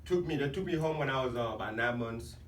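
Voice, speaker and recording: A man's voice: mid-range voice, fast talker. A man from Nigeria, a guest comedian, chatting casually and laughing often. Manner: laughs often, relaxed storytelling. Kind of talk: casual chat. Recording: echoey room mic from a distance with faint hiss.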